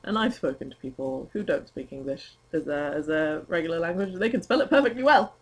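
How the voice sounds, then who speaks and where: A woman's voice: deep voice, lively intonation, a little fast, husky. A woman doing an amateur mock-dramatic reading of fan fiction with a friend, giggly and often dropping into casual asides.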